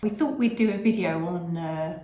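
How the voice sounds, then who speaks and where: A woman's voice: lowish voice, moderate pace, warm tone. A middle-aged British-born woman whose first language is English, co-hosting a casual chat vlog, rambling with restarts. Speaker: vlog co-host. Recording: echoey room.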